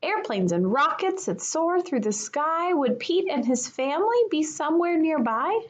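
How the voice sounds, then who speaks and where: A woman, a kindergarten teacher, reading a children's picture book aloud in an expressive manner for young listeners. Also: high-pitched, normal speed.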